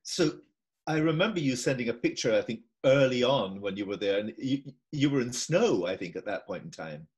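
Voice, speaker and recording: An older Scottish man talks from a room at home over a video call. His pitch swings widely, and he circles his thoughts with repeats and asides. He sounds relaxed and conversational. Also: moderate pace. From Scotland. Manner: musing, repeats himself, laughs easily. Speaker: interviewer. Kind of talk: casual video-call interview.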